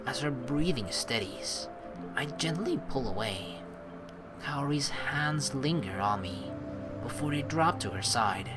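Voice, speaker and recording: A man's voice: medium pitch, lively intonation, takes it slowly. A man hosting a let's play, informal and rambling, moving between casual commentary and reading story text aloud. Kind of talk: casual game commentary mixed with dramatic read-aloud narration. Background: game music.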